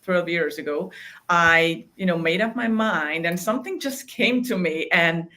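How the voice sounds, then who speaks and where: A woman in her thirties from Hungary whose first language is Hungarian, a guest telling her story in a halting way with many fillers. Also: on the low side, animated. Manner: warm, halting, many "you know" fillers. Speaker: guest, collage artist. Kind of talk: personal storytelling in an interview.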